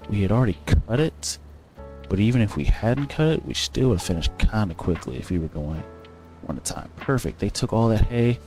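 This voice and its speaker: A man's voice: lowish voice, a little mellow. A man giving casual, rambling commentary as he plays a game, thinking aloud and correcting himself. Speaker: gaming streamer.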